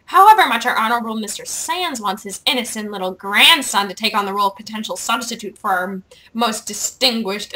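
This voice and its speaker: A woman voicing a villainous male dark sorcerer in a video game, addressing his followers in a grandiose, ominous, theatrical way. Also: a little high, low-quality recording.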